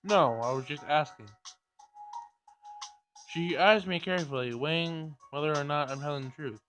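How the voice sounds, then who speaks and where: A man's voice: fairly high pitch, normal speed, fairly bright tone, soft background music. A man giving casual gameplay commentary and reading game dialogue aloud, with blunt, sarcastic asides.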